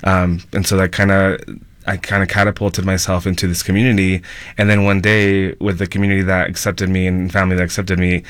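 Low voiced guy on a panel, pretty monotone, casual story, pauses a lot to think. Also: no echo. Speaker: panel guest.

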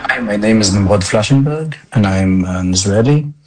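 A man giving a recorded statement, earnest and deliberate. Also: on the low side, expressive, darkish tone. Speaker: interviewee.

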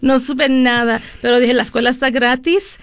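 A woman's voice: high voice, fairly even intonation, moderate pace, no room sound. A middle-aged woman from California, a lawyer and interview guest, tells her story in a chatty way, with frequent fillers and switches into English. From California, USA. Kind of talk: conversational storytelling.

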